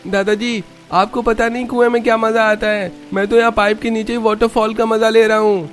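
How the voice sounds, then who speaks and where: A man voices a cheeky young man of a cartoon household. The voice is high with little rise and fall, breezy and boastful, with soft music underneath. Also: little pitch movement, a little fast, natural voice. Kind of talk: Hindi cartoon story dialogue.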